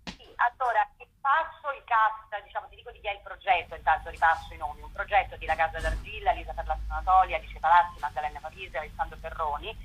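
A woman's voice: sits low, fast, a bit bright, slight background noise, low-quality recording. A woman theatre curator in a radio interview, talking in a rambling way, repeating words and using many fillers.

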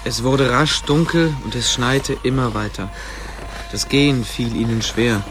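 A man's voice: mid-range voice, unhurried, noisy background. A man narrating a story as scripted narration for a German radio play.

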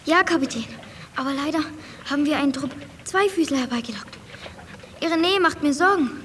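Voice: high voice, brisk, fairly bright tone, a little hiss or hum. An actor in a German radio play voicing an eager child who earnestly play-acts and directs the game. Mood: anxious.

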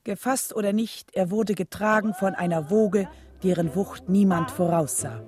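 An actress playing a reflective wife who narrates her husband's struggle in a radio play, with a husky, mellow voice. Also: mid-range voice, fairly even intonation, moderate pace, faint music behind, close to an everyday voice. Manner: reflective, storytelling.

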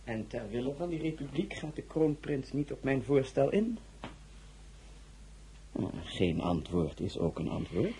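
An actor playing a suave, imperious tsar in a radio drama, speaking formally and in measured phrases with a husky voice. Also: male voice, mid-range voice, big pitch swings, dark tone, slight background noise, poor audio. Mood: resigned. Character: suave, imperious Tsar of Russia, courtly and probing.

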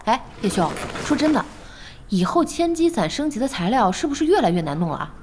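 High, lively young café boss. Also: female voice, fairly quick, noisy background. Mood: brisk, curious. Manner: lively, direct. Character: brisk, bossy, nosy young female internet-café boss. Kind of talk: Chinese audio drama acting. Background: music.

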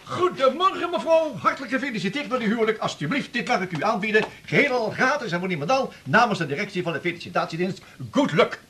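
A man's voice: sits high, animated, quick pace, bright, forceful tone, slight background noise. An actor playing a pushy, flustered, oily door-to-door salesman in a radio drama, stammering through ingratiating sales patter. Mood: ingratiating, eager. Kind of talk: 1980s Dutch radio drama acting.

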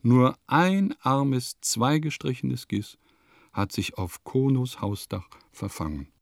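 An actor playing an eccentric village composer, declaiming lists, notes and diary entries in a scripted radio play. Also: male voice, medium pitch, sing-song, slow, gravelly, dry recording, clean studio sound, exaggerated voice. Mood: rapturous.

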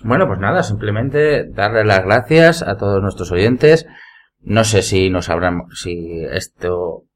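Male guest, lowish voice, conversational delivery, slight background noise. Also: expressive, measured pace. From Spain. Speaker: podcast guest. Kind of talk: casual chat.

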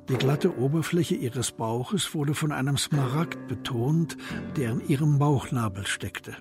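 Male radio drama narrator over music. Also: medium pitch. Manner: measured, descriptive. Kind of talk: scripted literary narration in a radio play.

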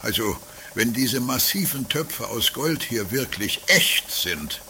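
A man's voice: mid-range voice, very animated, takes it slowly, sharp tone, exaggerated voice. A man playing a dry, bureaucratic customs officer in an amateur comedy radio play. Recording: slightly noisy 1980s radio play tape.

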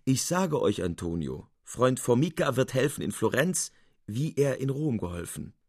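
A self-assured schemer and loyal friend read by a man in a storybook. He speaks encouragingly at a medium pitch, in a dry recording. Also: sing-song, moderate pace, a little mellow, husky, dry recording. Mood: reassuring. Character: confident, scheming male friend, cheerful and reassuring.

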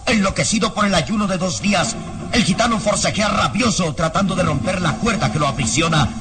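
Higher-pitched man narrating a suspenseful radio serial. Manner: dramatic, suspenseful, deliberate phrasing. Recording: radio serial with music behind.

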